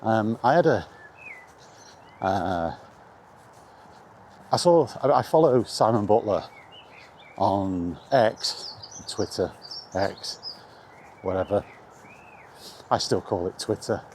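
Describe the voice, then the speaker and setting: Mellow British man, casual and rambling. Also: on the low side, lively intonation, slow, pauses often. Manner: informal, meandering, mildly sweary. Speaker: video maker chatting about video games. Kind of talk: casual rambling vlog chat. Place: outdoors in the rain, walking. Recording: outdoor ambient noise, faint.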